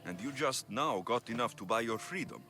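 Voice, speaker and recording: A man's voice: medium pitch, lively intonation, brisk, music in the background, natural voice. An actor playing a blunt male mercenary hero with a curt, dry manner.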